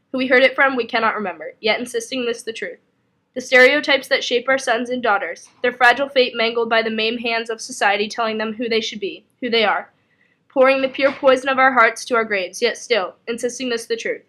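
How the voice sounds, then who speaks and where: Higher-pitched woman reciting slam poetry. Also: fairly bright tone. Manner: dramatic, emphatic delivery with repeated phrases and deliberate phrasing. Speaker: spoken word poet.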